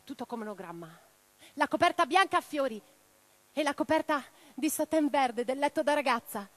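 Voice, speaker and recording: A woman playing an imprisoned, defiant revolutionary in a radio theatre monologue, reading her letters with theatrical, deliberate phrasing. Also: high-pitched, dry recording. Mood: pleading, brisk. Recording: clearly noisy track with mains hum.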